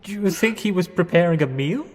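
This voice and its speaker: An actor playing a hapless, apologetic young English student in a radio drama, hesitant, literal-minded and politely dithering. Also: male voice, high-pitched, expressive, brisk. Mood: bemused. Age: young adult. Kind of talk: 1920s-set radio drama acting. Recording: long echoing reverb with music underneath.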